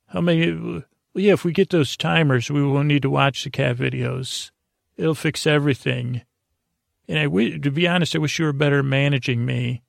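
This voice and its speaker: A man with a creaky voice hosting a sleep podcast, talking in a meandering, halting, repetitive drone meant to lull listeners to sleep. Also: a little high, no echo, clean studio sound. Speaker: podcast host.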